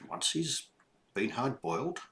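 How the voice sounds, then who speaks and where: An older man reading a children's story aloud, deliberate and emphatic, with explanatory asides to young listeners. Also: mid-range voice, big pitch swings, fairly bright tone, slight background noise.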